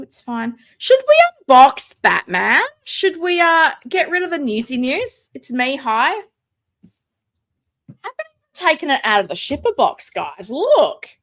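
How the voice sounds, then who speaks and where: A high-voiced woman chatting on a live stream, her pitch bouncing all over as she talks. She reads out viewer comments and jokes about herself while she unboxes a figure. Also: big pitch swings, measured pace, long pauses, fairly bright tone. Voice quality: self-described booming voice. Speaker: host of a live toy collecting show. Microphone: desk mic, moved away at times.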